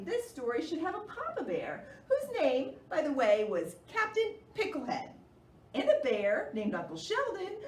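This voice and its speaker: A woman hosting storytime for young children, reading aloud in an animated, expressive way. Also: high voice. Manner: animated, expressive, with theatrical gasps. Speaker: children's librarian and storytime host. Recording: roomy, distant mic, faint noise.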